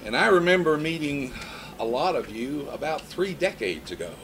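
A man's voice: on the high side, sing-song, moderate pace, a bit bright, slight background noise, rough recording. A man in his fifties preaching a sermon in a conversational way, mixing scripture with personal stories. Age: 50s.

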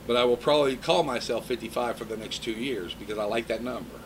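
Male preacher, fifties, relaxed storytelling sermon, mid pitch. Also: quick pace, background noise. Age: 50s. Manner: conversational preaching with personal stories.

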